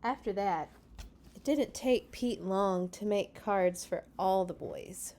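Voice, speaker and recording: Lady doing kids storytime, reads real slow and expressive, soft-edged mellow voice. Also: medium pitch. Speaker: children's storytime host reading a picture book aloud. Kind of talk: read-aloud storytelling for young children.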